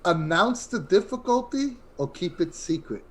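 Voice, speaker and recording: A man with a fairly high-pitched voice hosts a podcast interview. He talks casually and conversationally, putting questions to his guest. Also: expressive, moderate pace, fairly bright tone.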